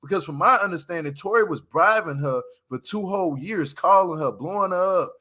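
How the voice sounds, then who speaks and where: A man with a high, forceful voice hosts a casual livestream. He gives his opinions emphatically, leaning on slang and repeating his points to drive them home. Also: a little fast. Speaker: livestream host.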